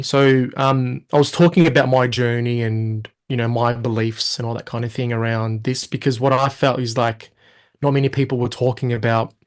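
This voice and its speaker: A man, a guest telling his story in a casual interview, acting out his own past thoughts. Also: medium pitch. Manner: animated storyteller, quotes himself in lively asides.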